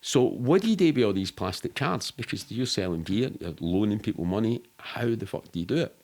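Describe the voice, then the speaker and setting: Low-voiced Scottish man telling rambling stories. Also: lively intonation, a little fast, a little mellow. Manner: rambling, sweary, matter-of-fact. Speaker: podcast guest. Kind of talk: casual storytelling in an interview.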